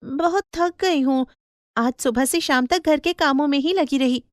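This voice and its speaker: A woman voicing an excitable, hardworking mother in an animated story, with exclamatory, wondering delivery. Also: sits high, unhurried, dry recording, studio quality. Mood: cheerfully evasive. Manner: exclamatory, wondering, mildly scolding. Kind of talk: animated Hindi storybook acting.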